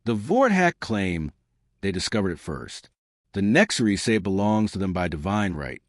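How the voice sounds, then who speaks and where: Man voicing a weary woman delegate, animated pitch. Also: moderate pace, no room sound, clean studio sound. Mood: weary, exasperated. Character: weary female human delegate explaining a deadlock.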